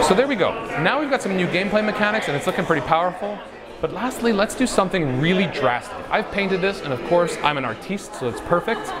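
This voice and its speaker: A man giving a live product demonstration in an upbeat, playful showman's manner. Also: high-pitched. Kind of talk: live stage demo presentation. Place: busy trade show floor. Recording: loud crowd noise behind. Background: convention crowd.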